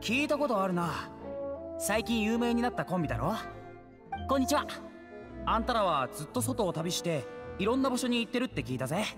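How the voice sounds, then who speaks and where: Casting: friendly game lad, casual boyish talk, animated intonation. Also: male voice, high-pitched, a little fast, over music. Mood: curious. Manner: casual, rough boyish phrasing. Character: easygoing, friendly teenage lad, casual and curious. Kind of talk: Japanese anime game acting.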